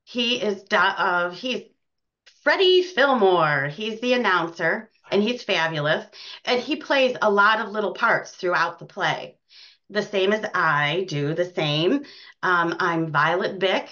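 A woman's voice: medium pitch, animated, unhurried, pushed and bright. An American woman chatting casually as an interview guest about her work in community theater. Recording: dull, lo-fi sound.

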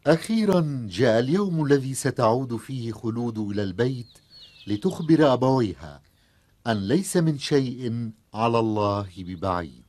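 A man playing the solemn storyteller of a children's cartoon, narrating a script in an expressive, measured way. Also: medium pitch, no echo. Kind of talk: scripted narration in formal Arabic.

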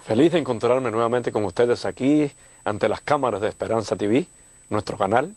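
An older man from Cuba, a church leader, speaking warmly and gratefully in a television studio interview. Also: medium pitch, a little fast, no echo. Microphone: lapel.

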